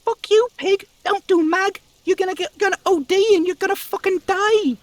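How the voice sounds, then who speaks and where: A man's voice: very high-pitched, moderate pace, no room sound, voice pushed up. A man voicing a vicious little street girl in a video game, screeching hostile commands and curses. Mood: vicious, furious.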